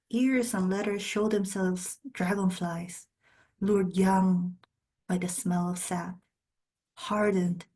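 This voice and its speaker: A woman poet, a native speaker of Spanish and Korean, reading poems in measured, deliberate short phrases with brief spoken introductions. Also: medium pitch, a little mellow.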